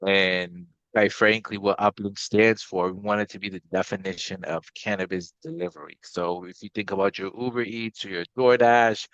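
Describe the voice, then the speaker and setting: A man's voice: on the low side, fairly even intonation, normal speed, few pauses, fairly bright tone, dry recording. A man from Guyana who later lived in Maryland, explaining things casually as an interview guest. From Guyana, later Maryland, USA. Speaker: interview guest, company CEO. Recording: slightly dull, lo-fi audio.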